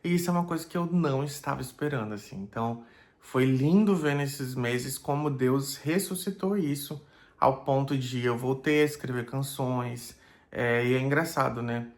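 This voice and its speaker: A man's voice: medium pitch, normal speed. Pastor guy, middle-aged, easygoing chat, lots of little fillers, mid voice. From Brazil. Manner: thoughtful, many fillers like "né" and "é". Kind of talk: casual reflective vlog.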